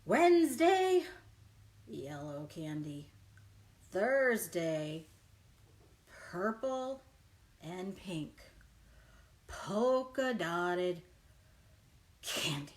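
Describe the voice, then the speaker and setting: A woman children's librarian reading a picture book aloud in an exaggerated, animated way and talking directly to young listeners. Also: fairly low pitch, drawn-out words, long pauses. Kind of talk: children's read-aloud storytime. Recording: noisy livestream recording.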